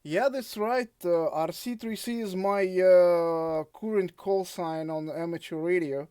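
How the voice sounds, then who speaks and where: A man with a Russian accent chatting as an amateur radio operator, with hesitant, halting phrasing. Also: high voice, mellow, clear, resonant tone, dry recording, clean studio sound. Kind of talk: casual radio contact chat.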